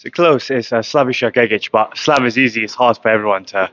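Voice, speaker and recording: A young man from Croatia, a professional boxer, speaking in a matter-of-fact way with many pauses and ums in an interview at a gym. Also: medium pitch, fairly bright tone, lo-fi sound. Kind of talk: casual interview. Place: boxing gym, indoors. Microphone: handheld mic held close.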